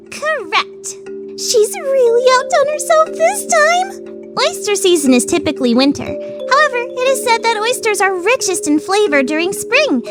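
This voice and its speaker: A woman voicing an excitable, theatrical teenage girl who judges food with grandiose, gushing drama in an anime fan dub. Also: extremely high pitch, full character voice. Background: background music.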